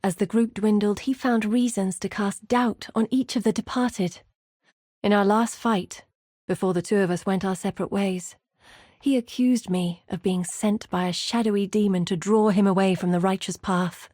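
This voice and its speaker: Voice actress doing thoughtful lady explorer from a video game, soft mellow tone, measured n earnest, close dry sound. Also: medium pitch, steady tone, dry recording, close to an everyday voice. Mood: wistful, somber. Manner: measured, articulate, earnest storytelling. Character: headstrong scholarly woman explorer, weary, reflective, earnest. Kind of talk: scripted video game character monologue.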